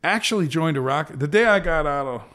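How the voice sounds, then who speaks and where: A man's voice: on the high side, animated, brisk, a bit bright. A man in his sixties, an interview guest telling rambling, self-correcting anecdotes about his childhood and career. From American Southwest. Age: 60s. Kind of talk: reflective storytelling.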